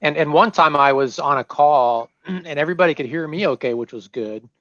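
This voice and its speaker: A man's voice: a little high, brisk, a bit bright, no room sound. A man, new to the group, chatting casually and easygoingly on a video call. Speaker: new member. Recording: dull, dry video call audio.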